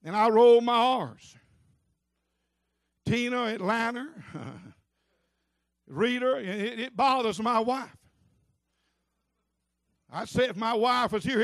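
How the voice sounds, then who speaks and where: A man with a Southern accent preaching at a church convention, rising from quiet talk to shouted preaching and calling out "come on" and "help me" to the congregation. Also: very high-pitched, dry recording, clean studio sound. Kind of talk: Pentecostal revival sermon.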